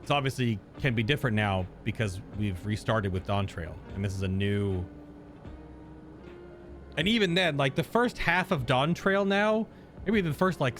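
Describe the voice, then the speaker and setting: A man giving casual, informal reaction commentary as a game streamer, joking and swearing freely. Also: medium pitch.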